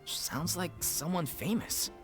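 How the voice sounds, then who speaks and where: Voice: medium pitch, slow, fairly bright tone, faint music behind. An actor playing an earnest, polite young theatre troupe member in a video game, speaking with deference and some hesitation. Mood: incredulous. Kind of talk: animated video game dialogue.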